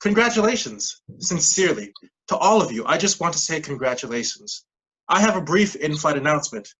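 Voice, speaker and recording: An upbeat, officious man, played by an actor running a meeting as if he were the pilot. His voice is fairly high and bright. He delivers everything as a formal, firm announcement in a stage play. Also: big pitch swings, a little fast, breathy edge, muffled recording, exaggerated voice. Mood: ceremonious.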